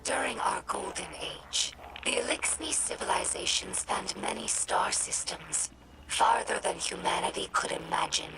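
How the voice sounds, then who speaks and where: A woman voicing an earnest young female alien scribe in a video game audio log, explaining in a measured, formal and thoughtful way with a breathy, creaky voice. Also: lowish voice, noisy background. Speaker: scribe recording audio logs for humans.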